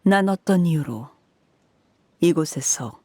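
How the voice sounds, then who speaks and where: A voice actress playing a cold, composed woman commander in a video game, speaking in a measured, detached and authoritative way. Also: sits low, lively intonation, no echo, heavily acted. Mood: somber, detached. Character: cold, composed commanding woman harbinger recounting a grim past. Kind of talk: scripted video game voice acting. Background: soft background music.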